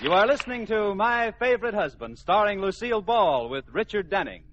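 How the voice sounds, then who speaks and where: A man's voice: sits high, sing-song, brisk. An American man narrating a mid-century radio comedy as its announcer, polished and mock-dramatic in a scripted style. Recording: muffled old-time radio recording. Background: music.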